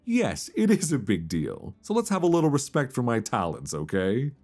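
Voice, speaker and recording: A man's voice: medium pitch, wildly swooping pitch, moderate pace, darkish tone, faint music behind. A man playing a playful, easily flustered old friend in an intimate, conversational romantic audio roleplay, teasing and stammering as he chats. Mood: mock offended. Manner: teasing, stammering, chuckling banter.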